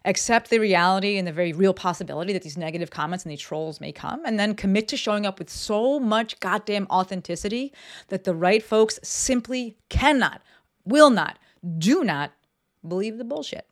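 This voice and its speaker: A woman's voice: mid-range voice, lively intonation, normal speed. Brief: woman, solo podcast host at her desk, frank and profane, chatty with plenty of fillers. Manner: blunt and profane, lots of fillers. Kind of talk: casual solo podcast talk. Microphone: desk microphone.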